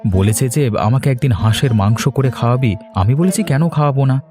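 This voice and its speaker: Mellow-voiced man in a cartoon. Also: mid-range voice, animated, a little fast, faint music behind. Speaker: husband, nephew in the cartoon. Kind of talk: Bengali cartoon voice acting.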